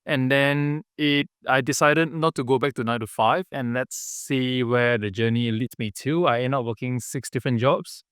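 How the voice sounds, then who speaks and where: Smooth-voiced Malaysian-born man, halting. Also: medium pitch, steady tone, fairly bright tone, dry recording, studio quality. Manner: earnest, halting, with many fillers and restarts. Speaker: podcast guest, business founder. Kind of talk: casual interview storytelling.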